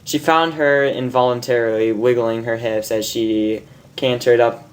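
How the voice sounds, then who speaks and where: A woman reading fan fiction aloud in an amateur, halting way, stumbling over words and restarting. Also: a bass voice, fairly even intonation, slight background noise, poor audio.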